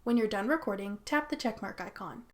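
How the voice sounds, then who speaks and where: A woman's voice: medium pitch, lively intonation, fairly quick. A woman narrating a scripted how-to tutorial as its host.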